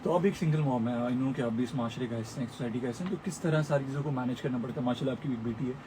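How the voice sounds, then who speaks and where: A man's voice: medium pitch, rapid-fire. A man interviewing a guest as a radio host, in a casual, conversational way. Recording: clear background noise and ambience.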